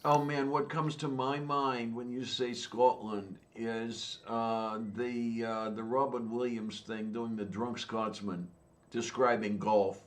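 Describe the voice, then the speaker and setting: An easygoing man talking at a slow, unhurried pace in a mid-range voice. He chats on a podcast in an agreeable, relaxed way, often nodding along to his co-host. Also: medium pitch, slight background noise. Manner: easygoing, agreeable, chatty with loud laughs. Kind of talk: casual chat.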